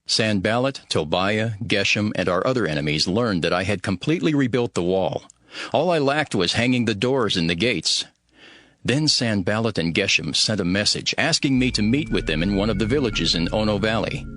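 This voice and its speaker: Low-voiced, earnest ancient governor. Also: male voice, animated, normal speed, a bit bright, no room sound, clean studio sound. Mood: earnest, measured. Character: steadfast, devout male governor of ancient Jerusalem telling his story. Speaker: first-person narrator. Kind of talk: dramatized scripted narration.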